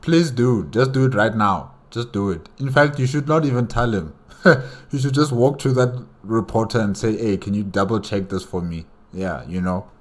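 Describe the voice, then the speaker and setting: A man's voice: on the low side, moderate pace. A man hosting a reaction video, giving casual, conversational commentary and repeating himself for emphasis.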